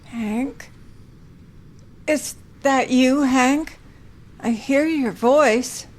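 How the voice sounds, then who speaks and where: A woman playing a moody, guilt-ridden, fragile widow in an audio drama, halting and hushed, often slipping into whispers. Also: high voice. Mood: anxious pleading.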